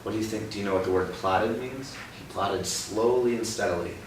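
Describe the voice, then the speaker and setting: A young man reading a picture book aloud to a child, pausing often to ask gentle questions about the words. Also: lowish voice. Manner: expressive storybook reading broken by gentle teaching questions. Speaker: read-aloud host. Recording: roomy, distant mic with background noise.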